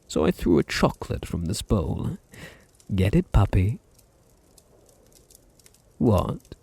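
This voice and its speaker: Man acting as male vampire master, British accent, slow teasing delivery, gravelly, possessive, silky menace, intimate ASMR roleplay. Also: a little mellow, exaggerated voice. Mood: teasing, condescending. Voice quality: deep, husky, gravelly. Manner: slow, teasing, condescending, drops into breathy murmurs.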